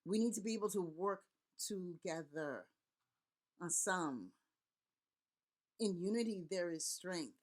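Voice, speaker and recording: A woman's voice: lowish voice, lively intonation, measured pace, pauses often. Earnest, rallying middle-aged woman from Haiti. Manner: earnest and rallying, with repeated words and lists. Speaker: Vodou priest and community elder. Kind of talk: impassioned spoken address to camera.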